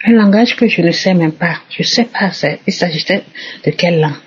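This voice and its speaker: A woman's voice: fairly low pitch, normal speed, a little hiss or hum. A woman giving an emphatic personal testimony, repeating her phrases, on a muffled recording.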